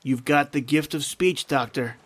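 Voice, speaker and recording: An actor playing a surly, suspicious convict thief and cutthroat in a radio horror drama, curt and grumbling. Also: male voice, mid-range voice, normal speed, fairly bright tone, natural voice. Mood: suspicious, uneasy. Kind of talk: old-time radio horror drama acting.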